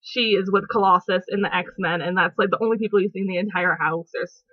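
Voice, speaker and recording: A woman's voice: medium pitch, steady tone, brisk, no room sound. A young woman chatting casually on her home vlog, giggly and rambling with lots of fillers. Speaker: vlogger. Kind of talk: casual movie review chat. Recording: dull lo-fi home recording.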